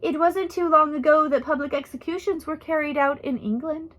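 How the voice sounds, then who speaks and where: A woman voicing a gruff, calm missionary woman in her seventies in a storybook, lecturing matter-of-factly. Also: high-pitched, fairly quick, pitched above the performer's own voice. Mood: firm, lecturing. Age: 70s. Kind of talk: storybook character reading.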